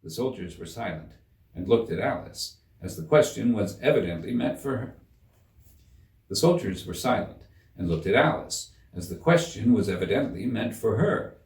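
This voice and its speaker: A man giving an expressive scripted narration of a children's classic as an audiobook reader. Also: on the low side, moderate pace, recorded from a distance. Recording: roomy echo, slightly off the mic.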